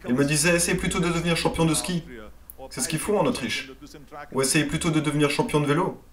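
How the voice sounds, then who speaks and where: A man's voice: on the high side, fairly even intonation, fast. A man reading a first-person motivational speech as a dubbed voice-over, in an earnest and emphatic way. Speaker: voice-over translator.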